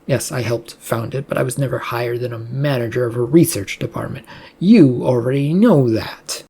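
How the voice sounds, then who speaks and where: A reader acting out a defiant male researcher from a story. He is blunt and flippant, in a soft-edged, husky mid voice that keeps probing. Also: mid-range voice, normal speed. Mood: confrontational. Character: defiant, smirking male researcher challenging his boss.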